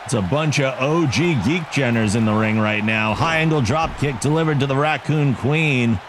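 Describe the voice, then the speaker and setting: A man giving excitable wrestling play-by-play commentary for a video game league, joking about himself between calls. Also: medium pitch, normal speed. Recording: game crowd and noise behind the voice. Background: video game arena crowd.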